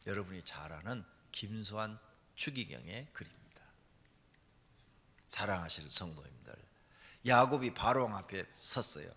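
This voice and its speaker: A man preaching a church sermon as a pastor, emphatic, moving between hushed asides and loud, forceful exhortation. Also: low-pitched, animated, normal speed, pauses often, breathy edge. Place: large church hall during a service. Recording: long hall reverb.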